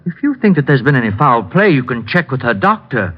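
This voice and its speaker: An actor playing a smooth, defensive husband in an old-time radio drama, speaking in a formal, measured way. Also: male voice, mid-range voice, very animated. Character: smooth, defensive husband hiding behind polite explanations. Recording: dull old radio recording.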